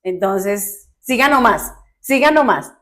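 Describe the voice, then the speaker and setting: Una mujer de Bogotá, con acento colombiano, conversa de forma animada y espontánea como invitada, riendo con facilidad. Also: female voice, medium pitch, slow. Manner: chatty, animated, laughs easily, self-correcting. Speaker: guest. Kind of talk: casual chat.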